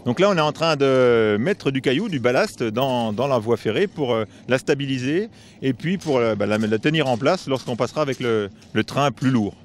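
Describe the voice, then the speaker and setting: A man of middle pitch explains things outdoors in a forest, pausing often on fillers as he goes. His tone is steady and informative. Faint work noise runs behind him. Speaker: heritage association organizer. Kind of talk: explanatory interview answers.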